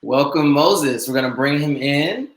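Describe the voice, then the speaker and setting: A man's voice: fairly high pitch, a little slow. A man co-hosting a film Q&A, asking questions in a conversational style from an echoey room. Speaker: co-host interviewer.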